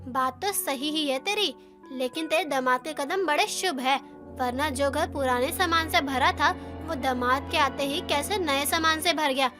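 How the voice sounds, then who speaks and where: A woman's voice: high-pitched, measured pace, over music. A woman playing a chatty, well-meaning neighbour in a Hindi cartoon story, gossipy and full of advice. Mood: cajoling. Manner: gossipy, advising, exclaiming. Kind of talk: animated moral story acting.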